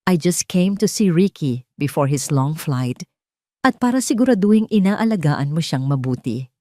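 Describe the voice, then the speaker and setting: A woman's voice: low-pitched, animated, normal speed, mellow, dry recording. A woman acts out an older, moneyed matriarch in an audio drama. She is imperious and sneering, disapproving in every line, and sounds close and dry. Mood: haughty, probing. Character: haughty, disapproving older wealthy mother-in-law.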